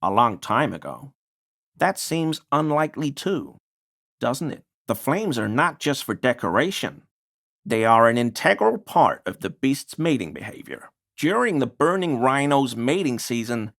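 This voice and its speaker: Wheedling conspiracy nerd for a video game, slow talker, voice goes up and down a lot, super deferential. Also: male voice, medium pitch, fairly bright tone. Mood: earnest lecturing. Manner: deferential, wheedling, pseudo-scholarly. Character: obsequious, insinuating male conspiracy-minded amateur researcher. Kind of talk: video game character dialogue.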